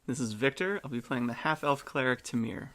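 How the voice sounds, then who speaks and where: A woman's voice: rumbling low, animated, fairly quick. A woman playing a tabletop game, casually talking through her options.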